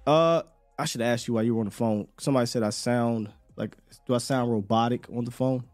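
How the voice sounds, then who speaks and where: A man's voice: mid-range voice, a little fast, a little mellow, faint music behind. A man hosting a sports call-in show, a bit nasal from a cold, talking in a slangy, confident way. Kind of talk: casual sports talk with callers.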